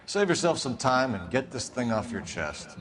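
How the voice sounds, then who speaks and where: An actor playing a stern 1940s police detective, questioning suspects in a pointed, accusatory way. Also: male voice, mid-range voice, big pitch swings, moderate pace, background noise, lightly acted. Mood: probing. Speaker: video game detective. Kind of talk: dramatic interrogation acting. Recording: music under the dialogue.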